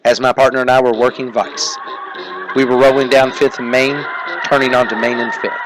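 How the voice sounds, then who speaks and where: A man playing a deadpan, terse police detective narrating a parody of old crime radio drama. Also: mid-range voice, close to an everyday voice, poor audio. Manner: deadpan, terse, matter-of-fact.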